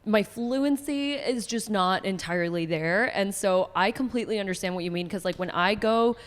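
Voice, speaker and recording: A woman's voice: mid-range voice, moderate pace, in a large hall. A woman from Dubai hosting a casual podcast, talking in a chatty way full of "like". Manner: chatty, lots of "like". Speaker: podcast host.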